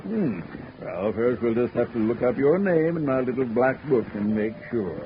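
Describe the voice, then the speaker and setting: An actor playing a patient, coaxing department store Santa who hems and haws to a child, in 1940s radio style. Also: male voice, mid-range voice, expressive, moderate pace, close to an everyday voice. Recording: muffled, noisy 1940s radio recording.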